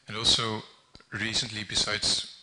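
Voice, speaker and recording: A young man, his tone bright and edgy. He sits a touch low in pitch and talks haltingly, full of ums and self-corrections, as though thinking aloud in front of a room. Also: completely flat delivery, rough recording. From Netherlands. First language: Dutch. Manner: hesitant, many ums and restarts. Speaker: volunteer translator speaking at an event. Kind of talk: informal public talk.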